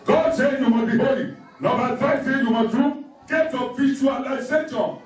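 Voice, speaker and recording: High-voiced preacher man, very echoey. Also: normal speed. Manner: emphatic, repetitive, numbered exhortations with scripture quotes. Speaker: pastor preaching. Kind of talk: sermon. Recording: very echoey room, distant microphone.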